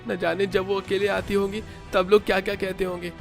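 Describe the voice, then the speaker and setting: A man's voice: high voice, level tone, fairly quick, vocal fry, soft background music, pitched above the performer's own voice. A man voicing an earnest, protective young brother in a cartoon. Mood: angry, protective.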